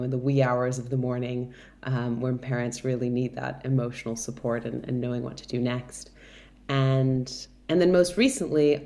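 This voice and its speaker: A woman in her thirties with an American accent, originally from Brazil, speaks in a notably deep, low register. She answers interview questions at length, thinking aloud with frequent ums and small restarts. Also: vocal fry, warm tone. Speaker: guest, product director.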